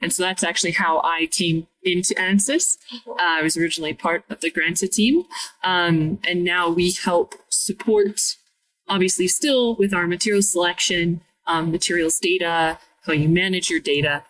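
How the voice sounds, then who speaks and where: A woman in her thirties from Minnesota, a materials scientist answering interview questions in a clear, explanatory way. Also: lowish voice, steady tone, normal speed, a bit bright, far from the mic, poor audio. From Minnesota, United States.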